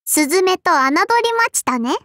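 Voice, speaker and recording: A woman's voice: high voice, big pitch swings, moderate pace, bright, forceful tone, no room sound, clean studio sound, full character voice. A woman voicing a tiny, prim and strict sparrow girl innkeeper with a childlike lisp and a cutesy verbal tic, in an anime mobile game. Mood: strained, determined. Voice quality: childlike, lisping. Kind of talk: Japanese anime mobile game character voice lines.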